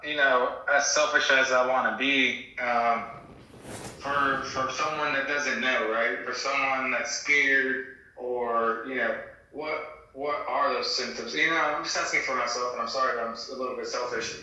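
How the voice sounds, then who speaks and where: A man's voice: medium pitch, fairly even intonation, a little slow, pushed and bright, heavy reverb, dull audio. A man hosting a casual phone chat, asking questions in a hesitant, tentative way. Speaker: host interviewing an old acquaintance.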